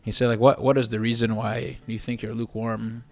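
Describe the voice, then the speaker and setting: A man's voice: medium pitch, a little fast. A man in his twenties, a podcast guest and physical therapist, speaking earnestly and steadily. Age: 20s. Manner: earnest and steady, with occasional restarts. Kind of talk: casual chat.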